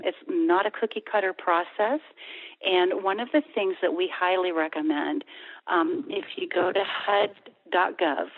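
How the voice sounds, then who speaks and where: A woman guest on a radio show, explaining steps in a steady, informative way. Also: fairly low pitch. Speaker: bank executive guest. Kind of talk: radio interview over the phone. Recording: tinny phone line.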